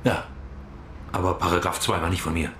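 A man's voice: deep voice, flat delivery, a little fast, bright, forceful tone, roomy sound, a little hiss or hum. An actor in a radio drama playing a brusque male author under suspicion, curt, dry and defensive. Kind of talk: naturalistic German radio drama acting.

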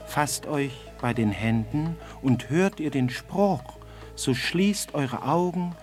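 Earnest storybook may beetle, declaiming rhymes slowly for children's radio drama, faint music behind. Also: male voice, mid-range voice, a little mellow. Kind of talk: children's radio play acting.

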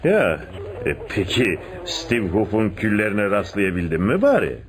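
An actor playing a shrewd, domineering police inspector in a radio play, questioning people insistently. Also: male voice, fairly low pitch, sing-song, a little slow, a crowd in the background. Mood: impatient, questioning. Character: shrewd, domineering male police inspector interrogating and giving orders. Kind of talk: radio theatre acting.

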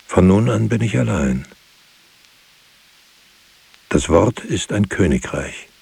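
Grieving, solitary writer for radio drama: low, husky, solemn and incantatory. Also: male voice, lively intonation, a little mellow, exaggerated voice. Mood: solemn, mournful. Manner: solemn, measured, incantatory repetition. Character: solitary, grieving writer musing on words and loss. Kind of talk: poetic literary radio drama monologue.

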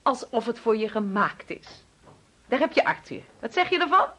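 An actress playing a brisk, doting and domineering wife who fusses and gives orders in a radio play. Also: female voice, a little high, big pitch swings, fairly bright tone, husky, heavily acted. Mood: fussing, approving. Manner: bossy, fussing, cooing. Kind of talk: Dutch radio play acting.

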